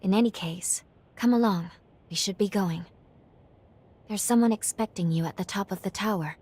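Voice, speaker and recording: A woman acting a gentle, solemn young female guide in a video game cutscene, speaking in a formal, earnest, measured way. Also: mid-range voice, breathy edge, close to an everyday voice. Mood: kind, reassuring. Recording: music under the voice. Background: background music.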